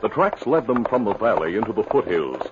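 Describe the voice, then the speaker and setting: A man's voice: fairly low pitch, sing-song, fairly quick, background noise. A man narrating a 1950s radio adventure as its announcer in a dramatic, scripted style. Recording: muffled old radio recording.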